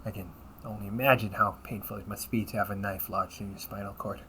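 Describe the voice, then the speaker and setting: A man playing a wry, politely smug demon-hunting officer in an audio roleplay, speaking in a courteous, measured, teasing way with breathy asides. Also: on the low side, flat delivery, a bit bright, slight background noise, close to an everyday voice, rough recording. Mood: apologetic, courteous. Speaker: amateur voice actor. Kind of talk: audio roleplay, one-sided dialogue addressed to the listener.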